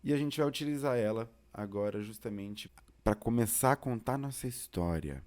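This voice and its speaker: Brazilian man, mellow low-voiced tutorial. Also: lively intonation, moderate pace. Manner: casual, explanatory, uses diminutives. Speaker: instructor. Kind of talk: informal software tutorial.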